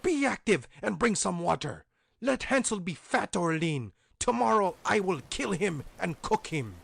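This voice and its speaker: A man voicing a wicked old woman, a witch who is mocking and greedy, in a shrill, commanding voice. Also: sing-song, unhurried, creaky, husky, full character voice. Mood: impatient.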